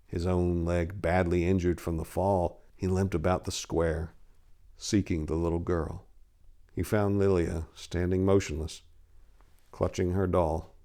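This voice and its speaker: Theatrical man with a lowish voice. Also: flat delivery, measured pace, mellow. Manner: theatrical, hammy. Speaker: narrator and story editor. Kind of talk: dramatic story narration and informal talk to listeners.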